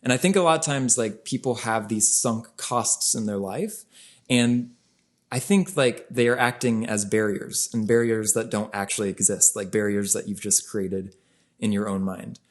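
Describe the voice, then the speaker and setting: A man's voice: fairly low pitch, steady tone, moderate pace. A young man co-hosting a podcast, explaining ideas casually and peppering his talk with "like". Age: young adult. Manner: explaining, casual, lots of "like" fillers. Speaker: podcast co-host. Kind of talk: casual conversation.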